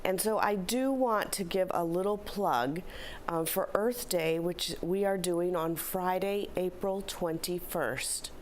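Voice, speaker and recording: A middle-aged woman, a philanthropy director, slightly hoarse, giving upbeat, chatty announcements in a studio interview. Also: lowish voice, a little slow. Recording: faint background noise.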